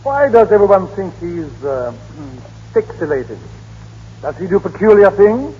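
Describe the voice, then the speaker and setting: An actor playing a stern, exasperated judge in an old radio drama, speaking with formal authority. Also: male voice, high-pitched, sing-song, unhurried, dark tone, breathy edge, exaggerated voice. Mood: puzzled, dry. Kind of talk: 1930s-40s radio drama acting. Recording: echoey, muffled, noisy old radio broadcast.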